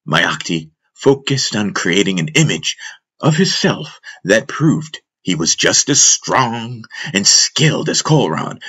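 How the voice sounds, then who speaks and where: A man narrating a fantasy audiobook in a measured, solemn, dramatic storytelling style. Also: medium pitch, fairly bright tone, husky.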